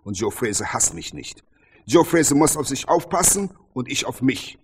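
A man's voice: medium pitch, animated, normal speed, slight background noise, natural voice. A man playing a cocky, taunting champion boxer in a radio play, boastful and theatrical, a playful showman. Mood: defiant, measured. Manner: boastful, theatrical, swinging between taunts and soft sincerity. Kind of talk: radio play acting, boastful press-conference banter.

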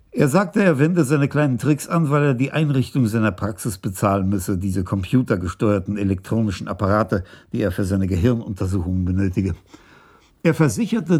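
An actor playing a senior police chief in a German radio crime drama, husky, wry and scornful as he reflects. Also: medium pitch, darkish tone.

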